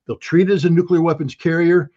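A man's voice: fairly high pitch, steady tone, quick pace, a little mellow, breathy edge. Man, political commentary, scornful, emphatic, muffled remote audio. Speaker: guest commentator.

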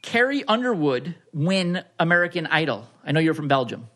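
A man co-hosting a podcast, playfully quizzing a guest in a joking, halting way. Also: on the high side, big pitch swings, a bit bright. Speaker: podcast co-host. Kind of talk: casual chat, playful quizzing.

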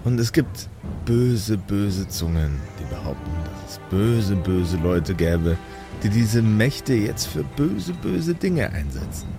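A man's voice: fairly low pitch, sing-song, vocal fry, over music, full character voice. A man voicing a shady, evasive go-between who hints cryptically and drags out his repeated words. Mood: sly, ominous. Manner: drawn-out, insinuating repetitions.

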